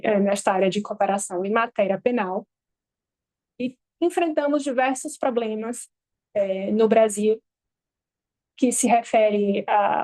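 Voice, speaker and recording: A Brazilian woman, a lawyer and native Portuguese speaker, giving a halting, measured academic talk at a panel table microphone. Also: medium pitch, darkish tone, no room sound. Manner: measured, halting, many pauses. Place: university event hall.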